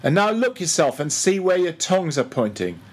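A man's voice: on the high side, very animated, normal speed, husky, pitched above the performer's own voice. A man voicing a bossy, mocking ship's mate who badgers and gives orders in a storybook reading. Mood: mock-solemn, bossy. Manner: commanding, interrogating, mock-solemn.

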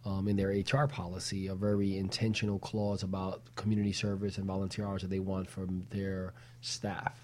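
A man with a low-pitched voice co-hosts a talk show. He asks questions casually and chats along with the guests. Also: completely flat delivery, brisk, few pauses, a little mellow, a little hiss or hum. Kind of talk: casual chat, asking questions.

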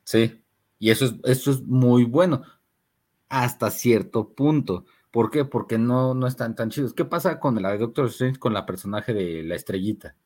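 A man's voice: mid-range voice, normal speed, darkish tone. A young man with a Mexican Spanish accent talks in a mid-range voice. He is slangy and meandering, and trails off into fillers as he chats casually about movies. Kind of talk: casual chat, film discussion.

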